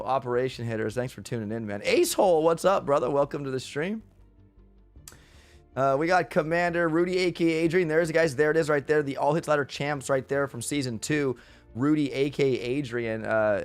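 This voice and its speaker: A middle-aged man with a head cold and a stuffy nose, hosting a casual live stream in an upbeat, rambling way with lots of fillers and restarts. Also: medium pitch. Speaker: streamer host.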